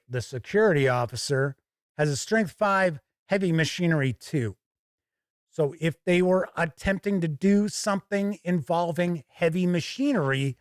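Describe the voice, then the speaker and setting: A man's voice: a little high, no room sound, clean studio sound. A man giving a casual, informal product review as a host, explaining things in a conversational way. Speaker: tabletop game reviewer and show host.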